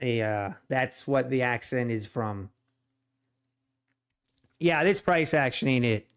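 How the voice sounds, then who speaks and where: A man's voice: medium pitch, animated, takes it slowly, smooth. A man from Ohio with a Swiss German accent, chatting casually on a day trading live stream and ranting with plenty of restarts and repeats. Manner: rambling, repeats himself, exasperated ranting.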